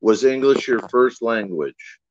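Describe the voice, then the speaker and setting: A man's voice: medium pitch, expressive, measured pace, highs cut off. A man on a livestream panel, calling in by video and asking the guest short, curious questions. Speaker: panel member. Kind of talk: casual livestream chat.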